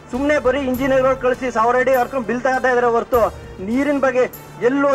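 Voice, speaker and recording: A man's voice: very high-pitched, poor audio. A man from rural Karnataka with a local accent, making an earnest, repetitive impromptu public appeal. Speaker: villager, member of the public.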